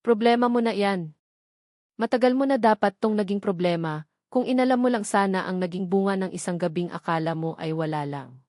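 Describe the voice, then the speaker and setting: A woman's voice: mid-range voice, a little fast, a little mellow, no echo, studio quality, natural voice. A woman voicing a wounded, defiant young mother in a story, firm and dramatic. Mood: cold. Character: wounded, defiant young mother confronting her former lover.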